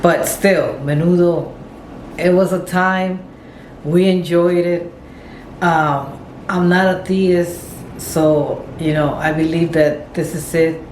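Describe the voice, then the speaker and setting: A woman's voice: on the low side, fairly even intonation, takes it slowly, darkish tone. A middle-aged woman giving casual, rambling commentary, thinking aloud as she repeats and rewords herself. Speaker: vlogger paying homage to a pop singer. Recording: echoey room with faint background noise.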